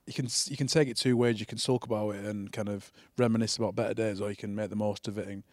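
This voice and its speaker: Quick-talking man with rambling questions. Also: lowish voice, fairly even intonation, darkish tone, no room sound, studio quality. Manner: rambling, long-winded questions. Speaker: podcast co-host. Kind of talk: casual chat.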